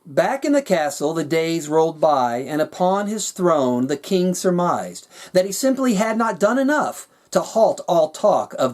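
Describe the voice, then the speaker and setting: A man narrating his own rhyming fantasy story with a measured, dramatic storyteller's delivery. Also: a little high, a little mellow. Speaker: author reading their own work.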